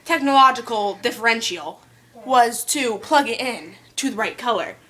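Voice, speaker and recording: A woman's voice: a little high, lively intonation, normal speed, pushed and bright. A young woman making a prank phone call from her bedroom, rambling nonsense with made-up technical words into a phone on speaker. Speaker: prank caller. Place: bedroom, indoors. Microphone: phone on speaker held in hand.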